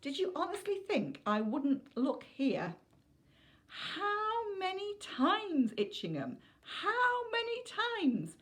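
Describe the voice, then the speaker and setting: A woman's voice: very high-pitched, huge pitch swings, takes it slowly, fairly bright tone, voice pushed up, exaggerated voice. A woman reading a storybook as a stern, sarcastic mother, scolding with pointed questions. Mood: exasperated, scolding. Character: stern, sarcastic, exasperated mother of a mischievous boy.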